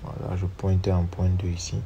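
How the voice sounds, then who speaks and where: A man's voice: deep voice, completely flat delivery, normal speed, dull audio, noisy background. A man with a cold narrating a step-by-step screen tutorial, reading each command and number aloud as he types. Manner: steps through commands aloud, spelling out numbers. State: has a cold. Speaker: networking instructor. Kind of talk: screen-recorded tutorial walkthrough.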